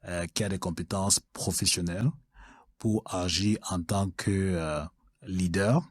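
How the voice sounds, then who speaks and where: A man from Cameroon, answering interview questions as a guest in a measured way with frequent filler sounds. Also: low voice, steady tone, slightly rough, no room sound. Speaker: guest, mining executive.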